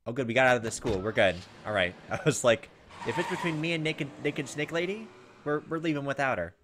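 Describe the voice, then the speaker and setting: A man's voice: mid-range voice, a little fast, noisy background. A man hosting a gameplay video, reading visual novel text aloud with informal, joking asides.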